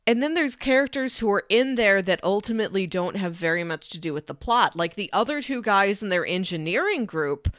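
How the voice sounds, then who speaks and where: A woman's voice: mid-range voice, expressive, brisk, hardly any pauses, fairly bright tone, dry recording, studio quality. A woman talking casually and analytically as a podcast co-host discussing a film.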